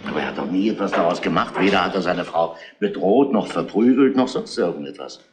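An actor playing a grumbling police inspector in a radio crime drama, probing with hesitant, seemingly casual questions. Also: male voice, deep voice, animated, a little fast. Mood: pensive, doubting. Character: grumbling, probing male police inspector, middle-aged or older. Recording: roomy, noisy old radio play recording.

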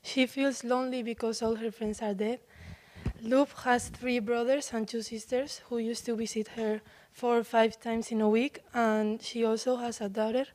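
Flat-voiced teenage girl reading. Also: on the high side. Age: teens. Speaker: student presenter. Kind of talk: reading a class presentation. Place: large meeting room. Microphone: handheld mic.

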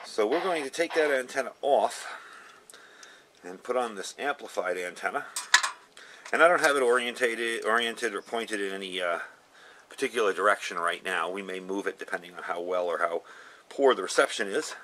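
A man's voice: on the low side, normal speed, pushed and bright. A man giving a casual, hands-on product demo in an informal, folksy, explanatory way. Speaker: video host, product reviewer. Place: outdoors in a gravel yard. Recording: outdoor camera recording with ambient noise.

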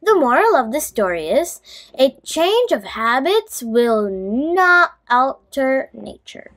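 A woman reading a children's bedtime story aloud in an expressive, deliberate way, as the host addressing young listeners. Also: high voice.

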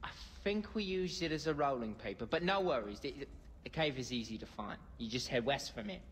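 A man's voice: mid-range voice, lively intonation, a little fast, a bit bright, lightly acted. Video game stoner storyteller, British male, acted: mock-grand, hesitant rambling, reverberant sound with faint noise. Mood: hazy storytelling. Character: hazy stoner man, pompous meandering comic storyteller. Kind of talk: video game cutscene acting.

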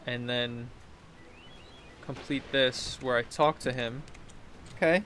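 A man's voice: mid-range voice, steady tone, slow pace, a bit bright, a little hiss or hum. A man in his thirties giving casual, slangy let's play commentary, reacting and thinking aloud as he plays. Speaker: gaming video host. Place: indoor room, seated at a computer. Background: game music.

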